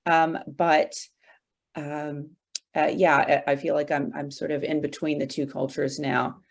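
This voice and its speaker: A woman in her forties from Arkansas, a guest in an interview, talking slowly with many fillers and restarts. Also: low voice, little pitch movement, gravelly. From Arkansas, USA. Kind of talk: casual interview conversation.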